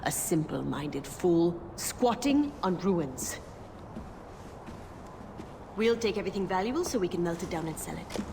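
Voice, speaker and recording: A fierce young rebel leader gives orders and sneers at tradition. Her delivery rises and falls with animation. Loud gunfire and engine noise from the game fill the background. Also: fairly low pitch, lively intonation, measured pace, long pauses. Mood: fierce, resolute. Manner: blunt, commanding, scornful of tradition. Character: hard-line young female rebel commander. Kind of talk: video game cutscene dialogue.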